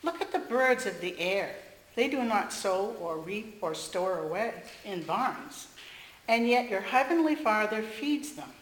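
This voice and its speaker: Female lay reader, strained voice, measured scripture reading, echoey room. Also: medium pitch, animated, slight background noise. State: strained voice after losing it earlier. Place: church sanctuary.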